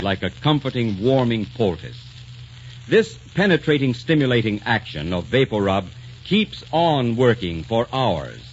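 A man announcing on 1940s radio in an emphatic, scripted sales style. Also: mid-range voice. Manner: emphatic, repeats phrases. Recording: muffled, noisy 1940s radio broadcast.

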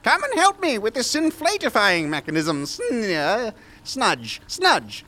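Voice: medium pitch, wildly swooping pitch, a little slow, sharp tone, exaggerated voice. Mad scientist, pompous, grandiose, hugely swooping delivery, gleefully irresponsible, comic audio drama, music underneath. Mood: breezy, bossy. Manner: theatrical, flustered, grandiose. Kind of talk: audio drama comedy acting.

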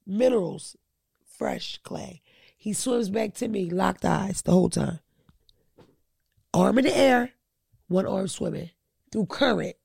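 A woman's voice: mid-range voice, slow pace, creaky, long pauses, a little mellow, husky, no echo. A woman telling blunt, crude stories in a casual podcast chat, close to the mic.

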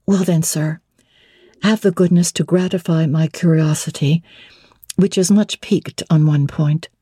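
Woman acting a spirited, mellow-voiced young bride-to-be. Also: low voice, steady tone, unhurried, slightly rough, no echo, clean studio sound. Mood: polite, curious. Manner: playful, challenging, forthright. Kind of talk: dramatic classic novel reading.

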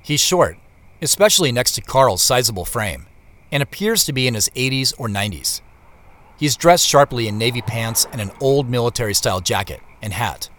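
A man's voice: mid-range voice, animated, moderate pace, a bit bright, lightly acted. An actor playing a wry, observant narrator telling his own story in scripted audio drama narration. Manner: wry, descriptive. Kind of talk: scripted first-person narration.

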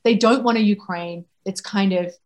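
Woman reporter being interviewed, thinks out loud, lots of ums. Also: medium pitch, moderate pace. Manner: thoughtful, frequent ums and restarts. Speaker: foreign correspondent guest. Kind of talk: conversational interview answers.

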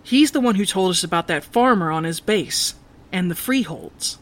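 A woman playing a purposeful, strategic moonbase crew member in audio drama dialogue, earnest and measured. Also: on the low side, animated, lightly acted. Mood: earnest, resolved.

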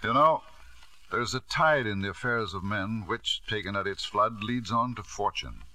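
An actor playing a scheming, reckless businessman and devoted father in 1950s radio drama, with a smooth, theatrical delivery. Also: fairly low pitch, lively intonation, moderate pace, pushed and bright, heavily acted, rough recording. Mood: sly, insinuating.

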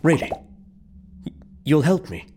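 A man's voice: a little high, very animated, slow pace, a little mellow, breathy edge, exaggerated voice. An actor playing an anxious, bewildered man trapped in a dream in an audio comedy drama, hesitant and pleading. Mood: desperate pleading.

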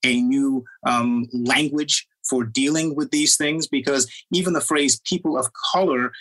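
A man's voice: medium pitch, fairly even intonation, unhurried, fairly bright tone, rough recording. A man from Trinidad posing a long question at a debate, hesitant and full of fillers. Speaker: journalist asking a question.

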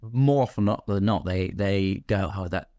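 A man speaking casually as an actor guest in an interview, explaining in an animated way and voicing imagined quotes. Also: low voice, normal speed, no room sound, lo-fi sound. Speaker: guest, radio drama actor.

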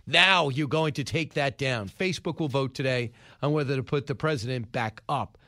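A man hosting a radio talk show, rattling through the news with wry asides and quick opinions. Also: medium pitch, background noise. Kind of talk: casual news-commentary monologue. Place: radio studio.

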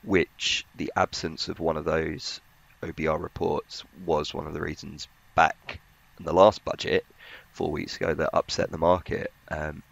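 A man giving conversational market analysis as a financial journalist, in a hesitant, halting way. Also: extremely low pitch, level tone, creaky, no room sound.

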